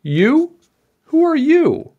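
Man putting on an excitable king, swooping pitch. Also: slow pace, highs cut off, full character voice. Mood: incredulous, amused. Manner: exclaiming, theatrical. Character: excitable storybook king, grand and incredulous.

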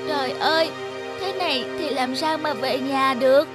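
A woman as a high-pitched naive little caterpillar. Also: moderate pace, natural voice. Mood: weary, distressed. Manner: exclamatory, childlike. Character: small naive, excitable caterpillar. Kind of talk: animated fairy-tale dubbing. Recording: music playing behind the voice.

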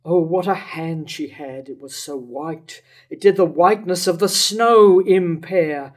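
An older man playing a grief-stricken, remorseful tyrant king in a staged reading of verse tragedy, declaiming in measured lines. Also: on the high side. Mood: mourning. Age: older, around 70. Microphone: lectern microphone.

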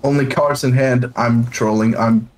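A man's voice: mid-range voice, steady tone, unhurried, low-quality recording. A man from Denmark playing a card game over voice chat, sarcastic and self-mocking. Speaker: streamer, duo partner. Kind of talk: casual gaming chat.

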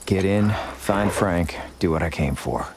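Very deep, laconic gunslinger. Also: male voice, measured pace, a little mellow, a little hiss or hum. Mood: determined. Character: cool, stubborn lone gunslinger hero. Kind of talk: video game voice acting.

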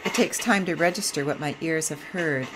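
A middle-aged woman reading a memoir excerpt aloud in a slow, reflective way. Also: low voice, background noise. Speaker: vlogger and author. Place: train compartment.

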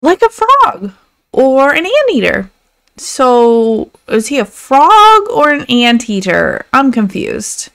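A woman voicing silly, excitable animals with a gushing, easily confused manner in a children's storybook. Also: high-pitched, takes it slowly, creaky, heavily acted. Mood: eager, confused.

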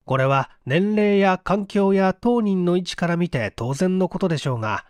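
A man narrating a mystery story as an audiobook reader, in an expressive, measured storytelling manner on a close microphone. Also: high voice, clear, resonant tone, no echo. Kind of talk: scripted literary narration, mystery story reading.

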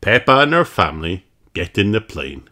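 A man's voice: low voice, big pitch swings, moderate pace, heavy background noise. A man reading a children's storybook aloud as narrator. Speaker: storybook reader.

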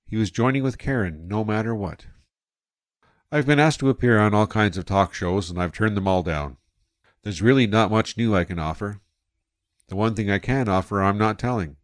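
An actor playing a male spaceship captain who narrates his voyage in the first person, quiet and reflective. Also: on the low side, moderate pace, dry recording, clean studio sound, close to an everyday voice. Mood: wistful. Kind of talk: scripted audio drama narration.

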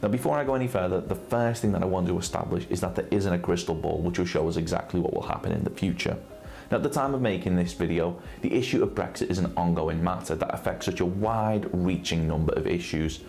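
A British man with a very low-pitched voice presents a scripted legal explainer. He speaks in a measured, formal way with careful articulation. Music plays behind him. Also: creaky, darkish tone. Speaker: presenter for a personal injury claims firm. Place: indoor studio set with brick wall and armchair. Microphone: lapel mic.

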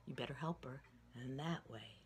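A woman in her sixties telling a children's story in a dramatic, folksy way with emphatic pauses. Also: rumbling low, a little hiss or hum. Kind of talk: animated oral storytelling for children. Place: indoor room with wooden ceiling. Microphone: phone at arm's length.

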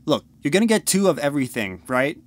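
A man's voice: on the high side, very animated, fast, dry recording. A man playing a dismissive, irritable teenage older brother in an audio drama, with a youthful, curt delivery. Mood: irritated. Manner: curt, impatient.

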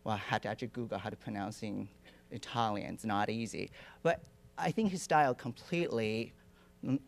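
A middle-aged man from Taiwan, giving a relaxed lecture with many fillers and dry humor into a handheld microphone in a lecture hall. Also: fairly low pitch, fairly quick, creaky. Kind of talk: lecture presentation. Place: lecture hall with projection screen.

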